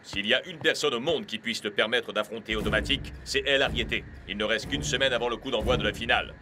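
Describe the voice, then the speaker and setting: A serious soccer coach from a French cartoon dub, played by a man. He speaks quickly with grave authority, over music. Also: mid-range voice, lightly acted. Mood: urging. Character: serious adult male soccer team coach.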